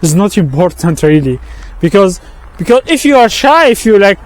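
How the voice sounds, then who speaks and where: A man with an Arabic accent, whose first language is Arabic, giving a walking vlog monologue outdoors in a halting way, often restarting and repeating words. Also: sits high, very animated. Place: outdoors on a street with passing traffic.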